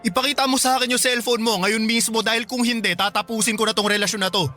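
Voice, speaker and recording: A man's voice: very high voice, fairly even intonation, quick pace, sharp tone, soft background music. A man with a Filipino accent acting an insistent but caring young boyfriend in a radio drama. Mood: accusing, insistent.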